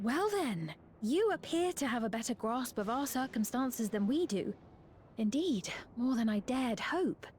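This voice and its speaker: A woman's voice: a little high, very animated, a little slow, a little mellow. A woman playing a gracious young queen in a video game, earnest and formal with courtly diction. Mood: courteous, hopeful. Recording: game dialogue with music underneath.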